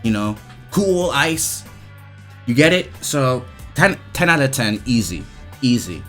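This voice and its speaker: A man giving casual, slangy, profane commentary as he rates video game voice lines, with an animated, emphatic delivery. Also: mid-range voice, slow pace, soft background music.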